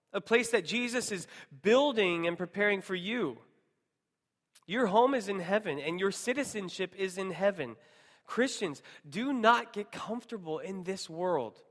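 A man's voice: high-pitched, fairly bright tone. A man with an American accent preaching a sermon to a congregation in an emphatic way, leaning on repetition. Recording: long hall reverb.

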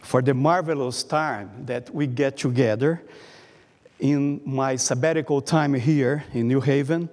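A man preaching a sermon in English with a Brazilian Portuguese accent, speaking carefully and pausing often as he reads from his notes. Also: medium pitch, a little mellow. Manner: careful, halting delivery, reading from notes. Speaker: guest preacher. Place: church sanctuary. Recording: long reverb of a church hall.

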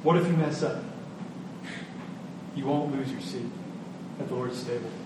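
A man preaching a sermon as a pastor, speaking deliberately with emphatic repetition and pauses. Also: medium pitch. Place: large reverberant room, live church service. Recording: echoey hall, distant mic, noisy.

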